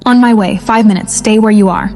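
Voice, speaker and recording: A woman's voice: mid-range voice, brisk, a little mellow, soft background music, natural voice. A woman voicing a concerned, protective brother in a horror story, speaking briefly and directly. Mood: brisk, groggy.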